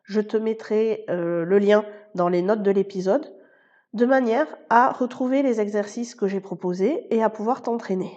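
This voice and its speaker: A woman hosting a language-learning podcast, explaining pronunciation and vocabulary clearly and speaking straight to the listener. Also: medium pitch, moderate pace, darkish tone, muffled recording. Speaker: Spanish teacher and podcast host. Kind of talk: scripted podcast lesson.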